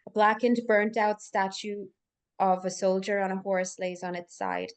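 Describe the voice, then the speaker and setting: A woman's voice: medium pitch, lively intonation, moderate pace, clean tone. A woman reading scripted stage directions as narrator at a live table read, in full descriptive sentences.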